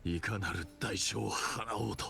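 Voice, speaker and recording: Gravelly, low, defiant warrior. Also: male voice, steady tone, fairly quick, bright, forceful tone, faint music behind. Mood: fierce resolve. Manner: scornful, intense, vengeful. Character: bitter, defiant male warrior accused of treason. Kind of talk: anime game voice acting in Japanese.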